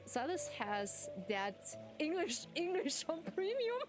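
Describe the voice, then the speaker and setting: Giggly woman chatting slowly. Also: extremely high pitch, very animated. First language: German. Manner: giggly, laughs often. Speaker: streamer. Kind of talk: casual chat with viewers. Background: game music.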